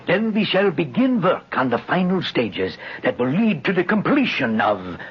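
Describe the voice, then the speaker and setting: A man's voice: a little high, very animated, normal speed, husky, exaggerated voice. An actor playing a proud, commanding inventor and father in an old radio drama, with a formal, emphatic delivery. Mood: assured. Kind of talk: 1950s radio drama acting. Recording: muffled old radio recording, loud music.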